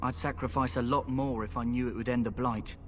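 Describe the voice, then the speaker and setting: An actor playing a cynical, roguish recruit in a fantasy game, with a blunt, taunting manner. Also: male voice, medium pitch, steady tone, brisk, close to an everyday voice. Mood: mocking, grim. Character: cynical roguish male recruit, mocking and fatalistic. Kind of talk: dramatic fantasy game acting. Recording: echoing hall, music behind.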